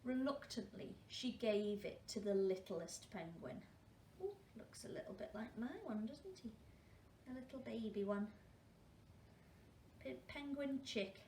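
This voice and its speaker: A woman's voice: on the high side, a little slow, darkish tone. A woman reading a story aloud to young children as a school storyteller, adding questioning asides to her listeners. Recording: roomy, distant mic, faint noise.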